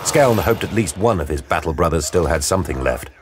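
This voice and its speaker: A man giving a grave, measured, dramatic narration for an audio drama. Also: low-pitched, animated, background noise. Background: dramatic music.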